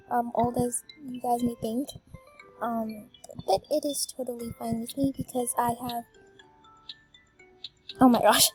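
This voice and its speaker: A teenage girl chatting casually while she plays a game, high-pitched and bubbly, with lots of ums. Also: low-quality recording. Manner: bubbly, full of filler ums, exclaims at game fails. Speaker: gaming YouTuber. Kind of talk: casual voice-reveal chat while gaming.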